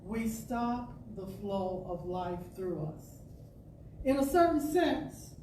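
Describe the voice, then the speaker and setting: A woman giving a deliberate, expressive inspirational reading, pausing between phrases like a storyteller addressing listeners. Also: mid-range voice. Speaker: storyteller reading a spiritual radio talk. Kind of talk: dramatic inspirational reading to an audience. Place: indoor spiritual center hall. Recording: roomy, distant mic with faint noise.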